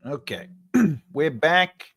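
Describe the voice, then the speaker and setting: A man with a big voice hosts a casual livestream. His pitch rises and falls a lot, and he talks in loose, filler-heavy bursts. His desk mic sounds close and dry. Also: animated, extremely slow, a bit bright, slightly rough, no room sound. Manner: loose and chatty, many fillers and restarts, adjusting his mic live. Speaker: writing class host. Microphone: desk microphone.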